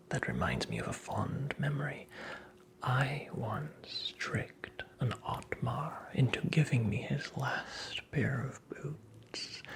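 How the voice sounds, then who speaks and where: A performer playing a creepy, teasing, very old male magical imp in a whispered ASMR roleplay, with breathy, creaky, theatrical delivery. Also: medium pitch, exaggerated voice. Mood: gloating, sly. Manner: slow, theatrical, taunting, toying with the listener.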